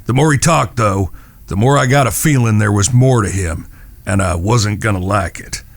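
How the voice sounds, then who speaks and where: A shrewd frontier gunslinger acted by a man with a creaky, gravelly voice and drawl. He is measured, folksy and probing, recorded close and dry for a western radio play. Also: fairly low pitch, no room sound. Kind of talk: western audio drama acting.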